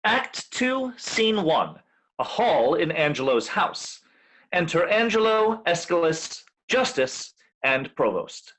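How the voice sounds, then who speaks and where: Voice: medium pitch, sing-song, takes it slowly, fairly bright tone, poor audio. A reader announcing scenes and entrances as scripted stage directions.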